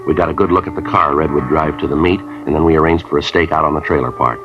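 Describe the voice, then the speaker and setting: An actor in 1950s radio drama playing a terse police sergeant who narrates and talks in a flat, clipped, matter-of-fact way. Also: male voice, a bass voice, fast. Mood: narrating. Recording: lo-fi old radio broadcast with music.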